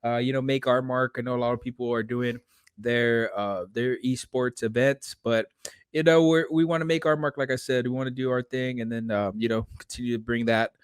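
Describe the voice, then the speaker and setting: Smooth, casual man hosting a podcast. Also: mid-range voice, steady tone, a little fast, no room sound, studio quality. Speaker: podcast host. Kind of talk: casual interview chat.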